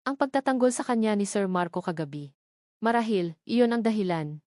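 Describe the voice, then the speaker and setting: A woman's voice: medium pitch, animated, normal speed, dark tone, no room sound, clean studio sound. A woman narrating a scripted dramatic story.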